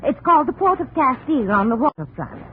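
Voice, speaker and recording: Snobbish, self-righteous society matron, dramatic and very animated, vintage radio drama with thin, noisy sound. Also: female voice, high-pitched, fast talker. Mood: gossipy, indignant. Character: gossipy, snobbish married society lady. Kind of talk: 1950 radio drama acting. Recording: noisy, narrow old radio recording.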